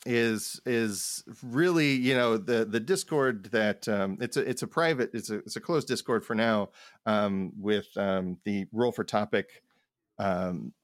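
A man's voice: fairly low pitch, a little slow, no room sound, studio quality. A man co-hosting a podcast in casual chat, talking in a rambling, stop-start way with repeated words. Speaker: podcast co-host. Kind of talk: casual podcast chat about role-playing games.

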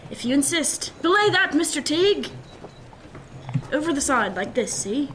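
A woman plays a young eighteenth-century ship's officer, level-headed and dryly witty. The voice is on the high side and speaks in formal nautical phrases. There is some ambient noise behind it, as in an audio drama. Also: very animated, normal speed, a bit bright. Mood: brisk, courteous. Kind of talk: period radio drama acting.

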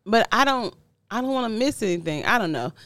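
A woman's voice: fairly high pitch, lively intonation, a little fast, fairly bright tone, no room sound, clean studio sound. A middle-aged woman chatting casually as a podcast co-host, teasing and exasperated in a slangy way. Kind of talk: casual banter with partner. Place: indoors at home.

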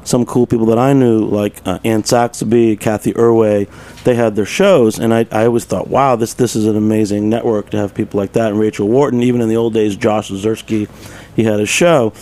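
A man's voice: fairly low pitch, steady tone, a little fast, mellow. A man chatting casually as an interview guest on a radio show.

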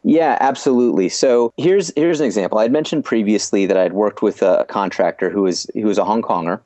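A man with a very low voice talks through his business experience as an interview guest. He is measured and explanatory and often restarts a phrase before he finishes his point. The recording is dry and close. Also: dark tone, dry recording. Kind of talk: podcast interview storytelling.

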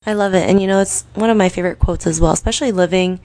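A woman's voice: fairly low pitch, racing, darkish tone, dry recording. A woman hosting an interview podcast, upbeat and encouraging, often saying "you know". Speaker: podcast host.

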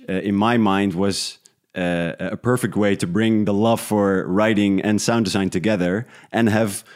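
Guy with a really low voice, casual interview chat, bit hesitant, thinking out loud. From Netherlands. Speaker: interview guest, audio drama creator.

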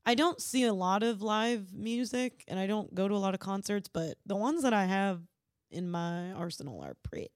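A young woman chatting as a podcast guest, talkative and quick to laugh. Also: medium pitch, no echo, studio quality. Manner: chatty, laughs often, puts on voices when quoting herself. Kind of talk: casual chat.

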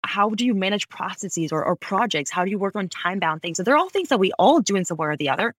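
A woman's voice: medium pitch, lively intonation, dry recording, studio quality. A woman in her thirties from Ecuador, a podcast guest talking articulately about her career, often correcting herself mid-sentence. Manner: fast, articulate, frequent self-corrections. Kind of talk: conversational interview. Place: home office, Bay Area.

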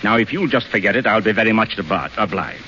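A man's voice: on the low side, quick pace, pushed and bright, lo-fi sound. An actor playing a flustered, embarrassed middle-aged father who stammers, in a hissy old radio broadcast. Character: flustered wealthy father of grown daughters. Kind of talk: 1930s radio play acting.

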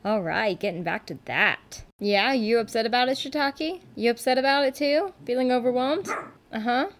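A woman's voice: a little high, lively intonation, moderate pace, a little hiss or hum. A woman hosting her own solo podcast from her couch at home, talking in a chatty, rambling, candid way and often poking fun at herself. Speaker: podcast host and rock musician. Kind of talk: casual solo podcast monologue.

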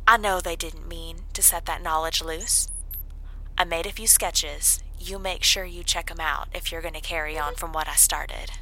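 A woman's voice: on the low side, steady tone, moderate pace, sharp tone, slight background noise, rough recording. A woman playing a breezy, self-assured moonbase maintenance worker leaving a recorded note in an audio drama. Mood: confident, sly.

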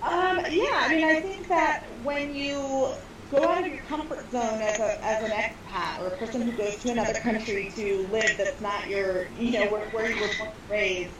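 A woman's voice: fairly high pitch, moderate pace, background noise. A woman guest answering interview questions hesitantly, with many fillers and restarts, recorded from a distance in an echoey room. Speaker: school co-founder guest. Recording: roomy echo, far from mic.

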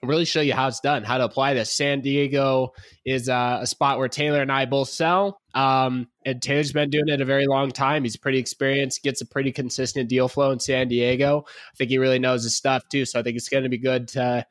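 A man in the middle pitch range hosts a casual chat, his voice a little rough and congested from being sick. He drawls in a relaxed way and keeps calling his co-host "man." The sound is dry and close, with no room around it. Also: fairly quick, no echo. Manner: laid-back, drawls, says "man" often. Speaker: podcast host. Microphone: remote call, each on own mic.

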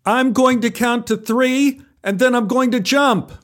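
Man acting a scared boy, high strained yell. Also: steady tone, measured pace. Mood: frantic resolve. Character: scared, stubborn boy pleading from a high diving board. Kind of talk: acted dialogue in a told story.

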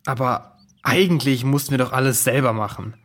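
Grumbling hungry lad acted by a man, mid-pitched husky voice, blunt, doubting, children's radio play. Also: brisk, natural voice. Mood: sceptical. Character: sceptical, grumbling, food-mad lazy boy. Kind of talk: children's audio drama acting.

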